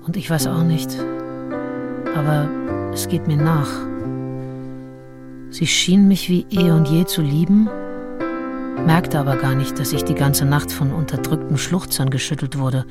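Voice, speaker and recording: An actress playing a lovesick, brooding woman of the early 1800s, reading her diary in an introspective, halting way in a radio play. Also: low voice, steady tone. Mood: hurt, doubting. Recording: loud piano music underneath.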